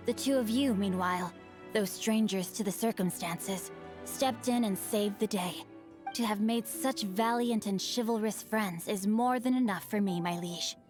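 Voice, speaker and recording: A woman's voice: mid-range voice, a little slow, music in the background, lightly acted. A woman voicing a bookish young male swordsman in a video game, suave and crafty, with flowery formal speech. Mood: gracious. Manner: flowery formal diction, composed. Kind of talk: animated game character acting.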